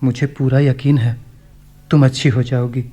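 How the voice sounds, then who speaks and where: An actor playing a sincere young man in a radio play, tenderly voicing his own letter in an earnest, measured way. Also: mid-range voice, level tone, mellow, close to an everyday voice. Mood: tender, hopeful.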